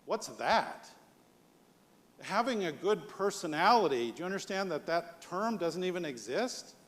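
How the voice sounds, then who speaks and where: A man's voice: high voice, sing-song, unhurried, fairly bright tone. An American man giving a live leadership presentation as a conference speaker, conversational and punctuated with rhetorical questions. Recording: long hall reverb.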